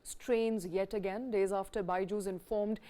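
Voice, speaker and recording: A woman's voice: medium pitch, steady tone, unhurried, mellow. A woman with an Indian accent anchoring live news, moving between a scripted lead and questions to guests.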